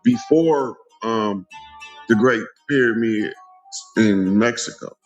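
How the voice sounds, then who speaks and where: A man's voice: fairly low pitch, slow pace, lo-fi sound. Emphatic American man lecturing over faint music. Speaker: interview guest. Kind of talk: conversational spiritual history lecture.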